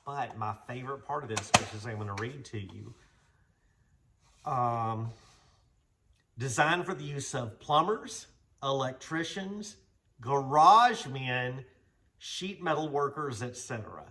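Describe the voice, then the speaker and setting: A man's voice: mid-range voice, expressive, slow pace, long pauses, bright, forceful tone. A man hosting a casual show-and-tell vlog, talking in a folksy, rambling, enthusiastic way. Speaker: vlog host, vintage garage restorer.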